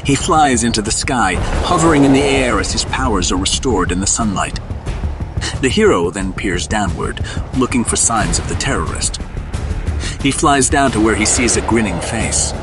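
A man narrates an audio drama in a fairly low voice. His reading is scripted and dramatic, with music clearly playing behind it. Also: expressive, moderate pace.

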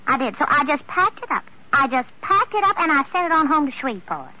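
An actress playing an indignant, naive young Southern belle with an American South accent, chattering in flustered protest in an old radio drama. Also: female voice, high voice, very animated, fast. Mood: pouting. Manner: flustered, breathless run-on protests. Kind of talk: 1950s radio drama acting. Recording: muffled, noisy old radio recording.

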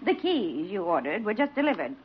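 An actress playing a prim, defiant medical office employee in an American radio drama, tart and emphatic, with an American accent. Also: female voice, medium pitch, sing-song, brisk, background noise, natural voice. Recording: dull vintage radio recording.

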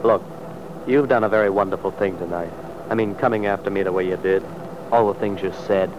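An actor playing a troubled, guarded but tender young sergeant in an old-time radio drama, halting and earnest. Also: male voice, deep voice, steady tone, close to an everyday voice. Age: young adult. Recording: muffled, noisy vintage radio recording.

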